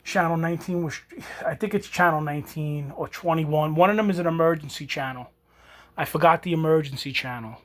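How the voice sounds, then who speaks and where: A man's voice: fairly high pitch, brisk. Rambling New man. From New York. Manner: rambling, loose, with many restarts. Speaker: collector showing an old item. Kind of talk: casual show-and-tell.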